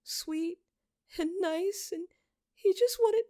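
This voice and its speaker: Young girl acted by a woman, broken by loss: raised pitch, hoarse, halting, tearful audiobook drama. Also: warm tone, no room sound, clean studio sound. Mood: grieving, tearful. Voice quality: hoarse from screaming. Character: grieving young girl, broken by her brother's death.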